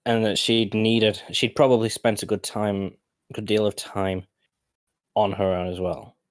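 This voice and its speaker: A man narrating a story as an amateur, halting and often correcting himself. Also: lowish voice, flat delivery, dry recording, studio quality. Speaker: narrator of fan fiction storytime.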